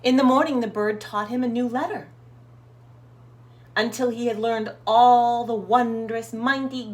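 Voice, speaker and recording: A woman reading a storybook aloud to young children, expressive and encouraging, putting questions to her listeners. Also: medium pitch.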